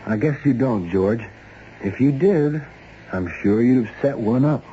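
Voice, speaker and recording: An actor playing a steady, wry ex-cop investigator in a 1970s radio drama, with plain-spoken, probing delivery. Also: male voice, mid-range voice, a little slow, close to an everyday voice. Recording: dull, noisy old radio recording.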